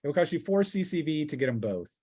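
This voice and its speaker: A man's voice: fairly high pitch, sing-song, quick pace, mellow. A man speaking casually as game master, narrating a tabletop role-playing game with vivid sound-effect words.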